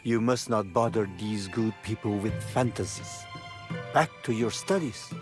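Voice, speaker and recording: An actor playing a stern but gentle older village guardian in a video game, weary and unhurried. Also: male voice, on the low side, music in the background, lightly acted.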